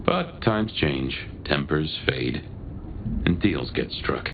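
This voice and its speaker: An extremely deep, gravelly voice for a courtly old vampire in a video game. He is genteel, amused and theatrical, with big swings of pitch. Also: lively intonation, takes it slowly, heavily acted. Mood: intrigued. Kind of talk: video game dialogue acting. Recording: muffled, noisy game audio.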